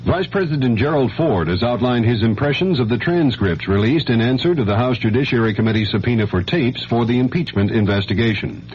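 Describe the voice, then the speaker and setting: A man's voice: mid-range voice, lively intonation, brisk, hardly any pauses, a little mellow. An American man anchoring a scripted hourly radio news bulletin. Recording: dull, faintly noisy 1970s radio broadcast.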